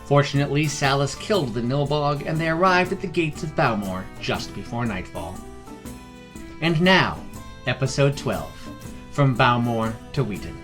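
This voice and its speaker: A man's voice: medium pitch, expressive, moderate pace, music in the background. A man narrating a scripted fantasy story as a podcast narrator, reading expressively. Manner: reads character dialogue expressively.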